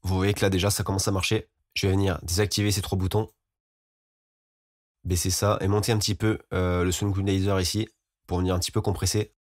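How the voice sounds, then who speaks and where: A man giving an informal, explanatory software tutorial as a voice-over. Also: deep voice, little pitch movement, fast talker, dry recording. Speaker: music production tutorial host.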